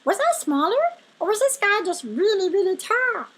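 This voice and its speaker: A woman voicing an elderly male stuffed rabbit, a chatty, excitable storybook adventurer who tells his tale with exclamations. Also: very high voice, normal speed, voice pushed up, heavily acted. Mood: excited, bewildered.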